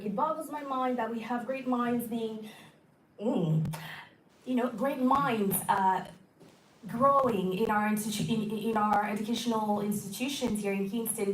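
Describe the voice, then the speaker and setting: A woman political candidate who is losing her voice, giving a halting debate answer with many self-corrections. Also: on the high side. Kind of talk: campaign debate answer to an audience. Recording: echoey hall, distant mic, faint noise.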